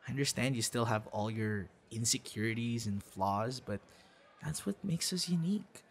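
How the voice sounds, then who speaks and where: A man's voice: medium pitch, moderate pace, slight background noise, lightly acted. A man acting as a friendly, teasing and supportive young would-be boyfriend in an ASMR audio roleplay, speaking conversationally and reassuringly. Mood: earnest, reassuring.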